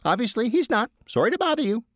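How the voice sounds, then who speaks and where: A man's voice: very high-pitched, very animated, fast talker, fairly bright tone, no room sound, clean studio sound, pitched above the performer's own voice, heavily acted. A man voicing a nervous, evasive man who fumbles and is overly polite while bluffing. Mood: flustered.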